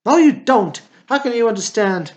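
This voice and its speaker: An actor playing a hot-headed, self-pitying Texan brother in a radio drama, with dramatic, folksy outbursts. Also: male voice, very high voice, animated, moderate pace. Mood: angry, hurt. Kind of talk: amateur radio drama acting. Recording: dull, lo-fi audio.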